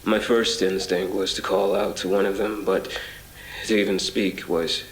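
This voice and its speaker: An actor playing a haunted man recounting a surreal ordeal in a brooding, literary horror audio drama monologue, full of pauses. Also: deep voice, level tone, a little hiss or hum. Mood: brooding, uneasy. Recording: reverberant voice over music.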